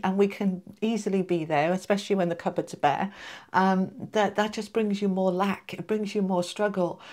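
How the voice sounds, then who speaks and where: A woman's voice: on the low side, darkish tone. Congested chatty woman reading tarot. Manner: chatty, reassuring, addresses viewers as guys. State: congested from allergies. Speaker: tarot reader. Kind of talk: casual tarot reading to camera.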